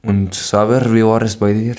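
A seventeen-year-old young man from Afghanistan, a podcast guest, speaking haltingly with frequent fillers. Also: lowish voice, flat delivery, darkish tone. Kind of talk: casual podcast interview.

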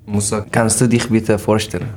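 A seventeen-year-old young man from Afghanistan, a podcast guest, speaking haltingly with frequent fillers. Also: lowish voice, little pitch movement, a little mellow, a little hiss or hum. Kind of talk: casual podcast interview.

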